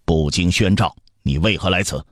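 Commanding emperor played by a man: low, rough-edged, curt, scolding, historical audiobook. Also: lively intonation, normal speed, no room sound, studio quality, heavily acted. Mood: stern, displeased. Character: stern, imperious adult Han emperor, quick to anger.

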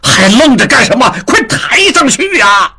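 A man's voice: big pitch swings, normal speed, husky, full character voice. Man doing a furious stern old patriarch. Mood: angry, barking. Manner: barking, shouting, raised pitch. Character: stern, angry old father of the house.

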